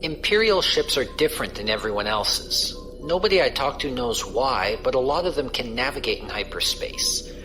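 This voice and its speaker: A man's voice: mid-range voice, sing-song, normal speed, bright, forceful tone, faint music behind, rough recording. A man playing a casual, wry space pirate crewman who explains things colloquially in a science fiction audio drama. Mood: casual, explanatory. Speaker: voice actor.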